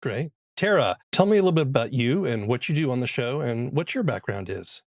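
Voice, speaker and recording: Host, adult man, animated intonation, dry close sound, curious questions. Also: medium pitch, brisk, no room sound. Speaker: interviewer. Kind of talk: podcast interview questions.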